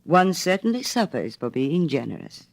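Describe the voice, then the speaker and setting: A woman's voice: low-pitched, big pitch swings, moderate pace, gravelly, full character voice. A woman playing a smug, self-righteous male miller in a storybook reading, pompous and wheedling. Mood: self-pitying.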